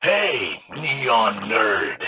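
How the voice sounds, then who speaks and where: A man voicing a shady, sarcastic schemer in a video game, wheedling and snide with a raspy, sharp voice. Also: on the low side, huge pitch swings, very slow, heavy background noise, full character voice. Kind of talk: video game character dialogue.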